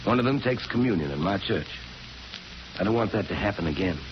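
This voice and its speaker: Guy acting as firm grave priest, lowish voice, old radio play with lots of hiss and muffled sound. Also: lively intonation, brisk, close to an everyday voice. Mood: firm, insistent. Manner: grave, direct, insistent. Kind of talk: 1940s radio drama acting. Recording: muffled, very noisy 1940s radio broadcast.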